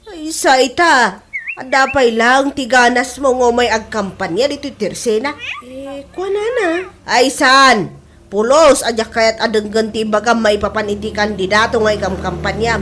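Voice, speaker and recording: Actress as pushy female campaign supporter, Ilocano radio comedy, very high voice, emphatic delivery, slightly noisy recording. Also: a bit bright. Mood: scolding.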